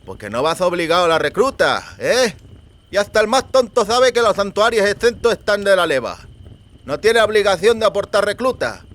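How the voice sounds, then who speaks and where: A man voicing the mocking, menacing eldest member of a rough rustic gang in an audiobook, speaking slowly and archaically with a lisp. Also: high-pitched, lively intonation, a bit bright, voice pushed up. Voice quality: lisping. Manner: slow, archaic rustic speech, sardonic.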